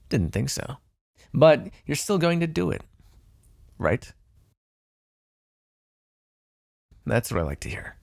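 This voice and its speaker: A man's voice: lowish voice, gravelly, no echo, clean studio sound, exaggerated voice. A man playing a smug, teasing vampire in an intimate ASMR roleplay, sardonic and flirtatious, possessive but fond. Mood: smug, bargaining. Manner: sardonic, flirtatious, swearing, sighing.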